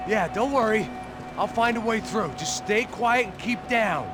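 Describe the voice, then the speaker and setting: An actor playing a terse, world-weary middle-aged American action hero with a sardonic edge in a video game. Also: male voice, high voice, measured pace. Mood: urgent, reassuring. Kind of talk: cinematic video game acting. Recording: action game soundtrack, loud music and noise.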